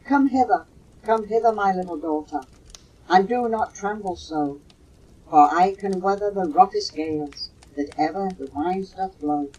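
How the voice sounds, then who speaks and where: An older woman voicing a bold, protective sea skipper in a recited ballad, commanding and declamatory, on a low-fidelity home cassette. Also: measured pace, dark tone, slightly rough, recorded from a distance, poor audio. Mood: confident, reassuring.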